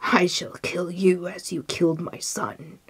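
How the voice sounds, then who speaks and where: A woman's voice: fairly low pitch, fairly even intonation, measured pace, slightly rough, heavily acted. A woman voicing an enormous enraged male genie in a storybook, speaking in menacing, emphatic declarations. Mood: merciless.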